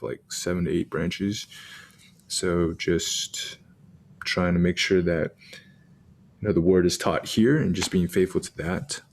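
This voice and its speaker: Joking man with very deep voice. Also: darkish tone. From Texas, US. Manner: joking, upbeat. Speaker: pastor. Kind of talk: casual podcast chat.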